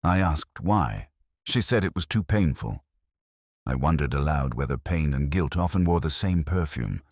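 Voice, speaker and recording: A man with an extremely low voice reads first-person detective fiction. His delivery is measured and reflective, with lively rises and falls in pitch. The sound is dry and close, suited to literary storytelling. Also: husky, dry recording. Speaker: narrator.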